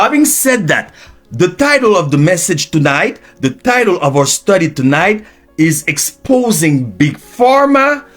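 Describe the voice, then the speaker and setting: Male preacher from Haiti, first language Haitian Creole; unhurried, drawn-out sermon delivery with a slightly higher voice. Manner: unscripted, slow and drawn out, with restarts and swings in pitch and loudness. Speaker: preacher and teacher.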